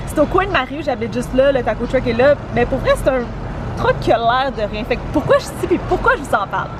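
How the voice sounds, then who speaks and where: A woman's voice: high voice, very animated, fast talker, over music, a crowd in the background, low-quality recording. A young woman with a Québécois French accent, chatting upbeat and directly to viewers in a casual travel vlog. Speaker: travel vlogger.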